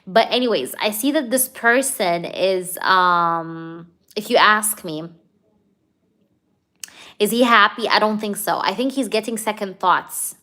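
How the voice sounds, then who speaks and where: A woman's voice: medium pitch, measured pace, sharp tone. Hyper woman doing a live tarot stream at home, lots of you know and okay, checks in with the viewer. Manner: chatty, reassuring, many fillers like "you know" and "okay"; addresses the viewer directly, asks if things resonate. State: hyper, energetic. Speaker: tarot reader.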